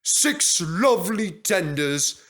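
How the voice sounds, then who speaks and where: A man's voice: sits high, big pitch swings, takes it slowly, fairly bright tone, voice pushed up, full character voice. Man doing a pompous, jealous steam engine. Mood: eager. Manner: pompous, huffy.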